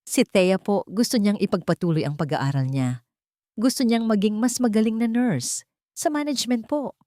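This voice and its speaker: A woman voicing a devoted grown man, calm, firm and polite, in a romantic audio story. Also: medium pitch, normal speed, no room sound, clean studio sound, pitched above the performer's own voice, lightly acted. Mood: calm, respectful. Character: devoted, steadfast grown son and husband, respectful.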